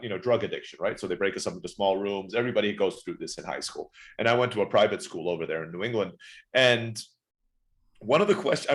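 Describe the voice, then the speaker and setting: A man in his forties, born in the Philippines and raised in Turkey, chatting quickly as a podcast guest telling his life story. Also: fairly low pitch. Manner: rapid, chatty, often saying "right?". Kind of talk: casual interview storytelling.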